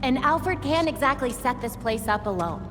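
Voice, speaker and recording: Game heroine: reverberant, fairly high, animated voice, brisk and practical. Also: female voice. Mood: frustrated, urgent. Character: determined, practical young female vigilante tech expert. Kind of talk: video game cutscene acting. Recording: reverberant hall, loud music behind.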